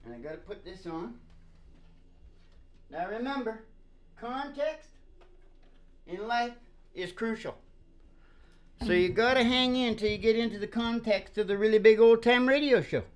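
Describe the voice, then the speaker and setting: A man's voice: sits high, unhurried, long pauses. A man hosting a radio show in a casual, rambling comic monologue, with a folksy, theatrical way of talking. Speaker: radio show host and singer.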